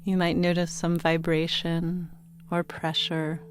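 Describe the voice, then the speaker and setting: A woman narrating a guided sleep meditation in a gentle, soothing manner, giving unhurried instructions with pauses between phrases. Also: lowish voice, monotone. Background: meditation music with singing bowl tones.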